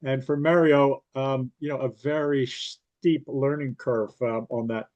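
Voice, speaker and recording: A man's voice: medium pitch, fairly even intonation, unhurried, darkish tone, muffled recording. A man hosting an interview, explaining background to viewers between his questions, with frequent "uh" and "um". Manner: explains context for viewers, filler-laden. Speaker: interview host.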